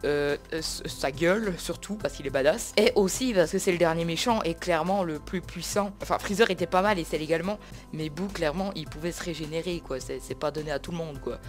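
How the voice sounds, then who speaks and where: A fan with a mid-pitched voice gives a casual opinion. The delivery is hesitant and repetitive, with everyday slang and faint music underneath. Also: brisk. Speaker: guest debater, anime fan. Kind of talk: casual improvised opinion talk.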